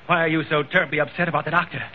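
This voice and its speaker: An actor playing a suspicious, arrogant wealthy husband in theatrical, accusing old-time radio drama style. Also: male voice, fairly high pitch, fairly even intonation, very fast, close to an everyday voice. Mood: accusing, indignant. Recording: dull, hissy old radio recording.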